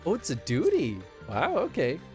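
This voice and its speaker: A man's voice: fairly high pitch, wildly swooping pitch, normal speed, faint music behind. A man chatting casually on a live game stream, reacting playfully and laughing often.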